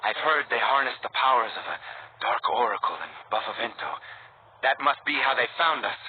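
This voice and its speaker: A man's voice: mid-range voice, fairly quick, breathy edge, lightly acted. A man playing an earnest, anxious, loyal resistance informant in a video game. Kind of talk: video game character acting. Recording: tinny band-limited game audio, faint noise.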